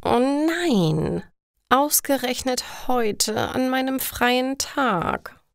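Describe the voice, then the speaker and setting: Slow-speaking tired young woman, read by a narrator. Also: high-pitched, expressive, gravelly, smooth, no echo, studio quality, pitched above the performer's own voice, full character voice. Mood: dismayed. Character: tired young working woman wanting peaceful rest. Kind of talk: storybook narration for language learners.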